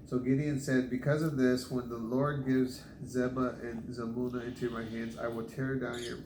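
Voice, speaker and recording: A man's voice: medium pitch, level tone. Man reading scripture in an echoey room. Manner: halting, stumbles over unfamiliar names. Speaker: scripture reader. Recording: echoey room with background noise.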